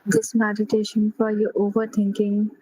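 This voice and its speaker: A woman leading a guided sleep meditation in a gentle, unhurried manner, pausing between short phrases. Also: mid-range voice, monotone, poor audio.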